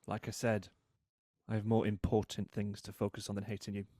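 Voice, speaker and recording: An actor playing a cool, needling British man in a drama, a wronged brother with a sardonic, deliberate way of talking. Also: fairly low pitch, completely flat delivery, warm tone, natural voice. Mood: dry, dismissive. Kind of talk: audio drama acting.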